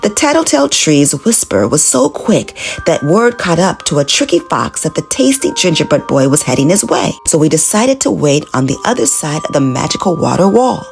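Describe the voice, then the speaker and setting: A woman narrating a children's storybook in an animated, expressive read-aloud manner. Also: deep voice, moderate pace, creaky, hardly any pauses, faint music behind.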